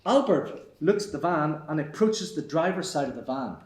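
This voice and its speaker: A roomy theatre recording of a man. He narrates stage directions from a screenplay. His voice is a little higher than most men's. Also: lively intonation, normal speed. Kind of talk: scripted narration at live table read.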